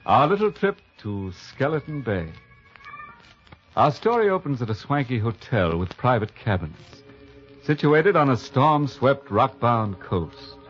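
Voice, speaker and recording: A ghoulishly playful male host of a 1950s radio horror show. He draws his words out slowly and mockingly, relishing the macabre. The old recording is muffled, with music behind him. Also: medium pitch, big pitch swings. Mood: teasing, ominous. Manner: ghoulish joking, drawn-out teasing delivery.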